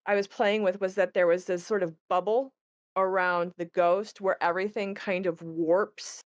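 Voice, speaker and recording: A woman's voice: medium pitch, steady tone, moderate pace, no room sound, clean studio sound. A woman co-hosting a casual promotional chat about her creative work, with a playful manner.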